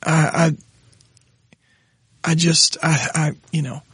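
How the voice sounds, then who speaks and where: A middle-aged pastor, hoarse and raspy tonight, talking haltingly with his wife on their podcast. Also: fairly high pitch, steady tone, fairly bright tone, dry recording. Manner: halting, restarts often when moved. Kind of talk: casual conversation with his wife.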